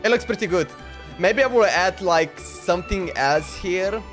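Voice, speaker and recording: High-pitched man chatting on a livestream. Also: unhurried, fairly bright tone, faint music behind. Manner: informal, repeats himself. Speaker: live streamer. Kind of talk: casual game livestream chat and reactions.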